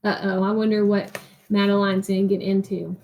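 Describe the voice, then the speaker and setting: A woman reading a picture book aloud like a teacher, expressively, with explanatory asides and pauses for young listeners to answer. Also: medium pitch, little pitch movement, highs cut off, a little hiss or hum.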